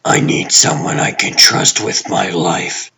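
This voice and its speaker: A man voicing a guarded, commanding TV producer who is secretly a superhero in a comic dub, speaking tersely and deliberately in a husky, rough voice. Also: deep voice, little pitch movement, pushed and bright, exaggerated voice. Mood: serious, secretive.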